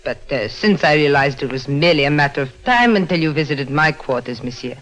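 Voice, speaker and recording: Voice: medium pitch, lively intonation, brisk, fairly bright tone, close to an everyday voice. An actor in a 1950s radio drama playing a formal, sinister French blackmailer, a woman disguised as a suave man. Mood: suave menace. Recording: old radio recording.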